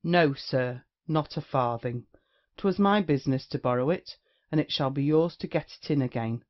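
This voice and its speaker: An actor playing a brazen, witty rake in a period stage comedy, declaiming with mocking insolence. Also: male voice, fairly high pitch, moderate pace, muffled recording, natural voice. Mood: insolent. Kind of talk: theatrical period comedy acting.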